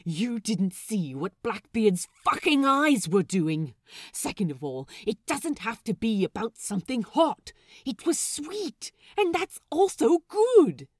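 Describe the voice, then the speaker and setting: A woman's voice: fairly high pitch, sing-song, slow, no room sound, clean studio sound, voice pushed up, exaggerated voice. A woman voicing a sassy, exasperated male pirate who argues emphatically, in a fan fiction audiobook. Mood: emphatic. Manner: emphatic, sweary, argumentative.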